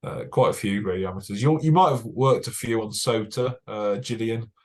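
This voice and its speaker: A young British man with a fairly low voice talks a club audience through his engineering work. He is chatty and technical, full of "uh" fillers, and drops dry jokes along the way. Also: brisk. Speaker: broadcast engineer giving a club talk. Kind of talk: casual presentation over slides.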